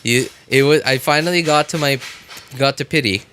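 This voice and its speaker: A man's voice: medium pitch, fairly bright tone. Guy streaming games n chatting w viewers, rambles and repeats himself, some noise behind him. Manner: rambling, halting, repeats phrases. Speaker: game livestreamer. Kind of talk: casual livestream chat with viewers.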